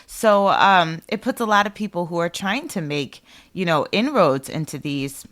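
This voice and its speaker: A woman's voice: fairly low pitch, lively intonation, normal speed, fairly bright tone. A woman co-hosting a conversational history discussion, explaining her points with repeated phrasing. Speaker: podcast co-host.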